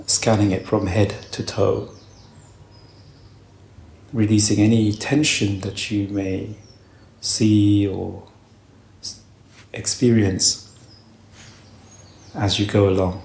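A man leading a guided meditation with calm, gentle, unhurried instructions and long pauses between short phrases. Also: lowish voice, creaky. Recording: roomy echo, faint background noise.

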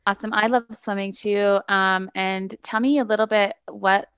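A woman hosting a podcast, asking her guest questions in an enthusiastic, encouraging way. Her voice is smooth and clear, recorded close and dry with no room sound. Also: medium pitch, fairly even intonation, moderate pace, fairly bright tone, no room sound. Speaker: podcast host. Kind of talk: interviewing a guest.

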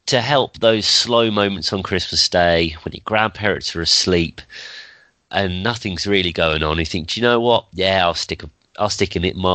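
Bloke with a cold hosting a chatty pod, low voice, dry close sound. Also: male voice, sharp tone, no room sound. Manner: chatty, laughs often, many ums. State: has a cold, slightly nasal. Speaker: podcast host. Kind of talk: casual podcast chat.

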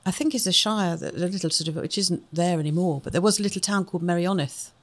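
A woman from Wales co-hosts a relaxed craft chat. She talks in a chatty, rambling way with self-deprecating humour. Her voice sits a little lower than most women's. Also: fast talker. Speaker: co-host. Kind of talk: casual chat.

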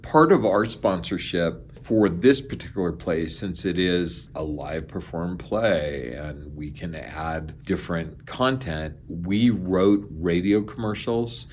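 A man's voice: low-pitched, slow, hardly any pauses, darkish tone, a little hiss or hum. A man, a theatre director, explaining in an interview in a matter-of-fact way.

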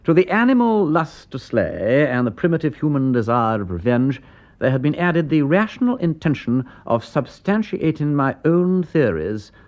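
An actor playing a breezy, wry, upper-class English gentleman detective with a drawling, flippant manner in a classic radio drama. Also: a little high, big pitch swings, moderate pace, dull audio. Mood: reading aloud. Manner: drawling, flippant, old-fashioned gentlemanly slang.

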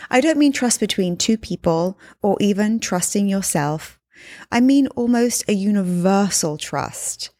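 A woman's voice: medium pitch, no room sound, clean studio sound. English woman with a hybrid Australian accent. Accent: English and Australian mix. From England. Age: 30s. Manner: warm, chatty, addresses listeners as friends. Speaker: podcast host and life coach. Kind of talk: casual storytelling monologue.

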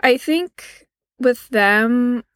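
Woman, slightly deep voice, unhurried, hesitant, dry recording. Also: a bit bright, no room sound. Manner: agreeable, hesitant, searching for words. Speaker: co-host. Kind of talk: casual reaction chat.

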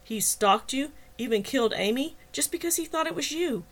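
A woman's voice: medium pitch, lively intonation, a little slow. A woman voicing a scornful, belligerent male patrol officer in an audiobook, sneering, sarcastic and accusing. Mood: mocking, accusing. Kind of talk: dramatized audiobook dialogue.

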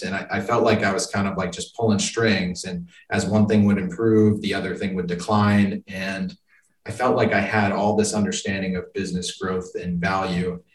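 An American man from the Boston area answering interview questions in a conversational, explanatory manner. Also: low-pitched. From suburban Boston, United States. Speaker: interview guest, business professional. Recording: echoey room, distant microphone.

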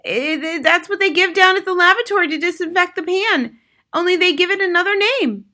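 A woman's voice: extremely high pitch, a little fast, bright, forceful tone, voice pushed up. A woman voicing a bossy, scornful middle-aged wife and mother in a storybook, shrieking and scolding in exasperation.

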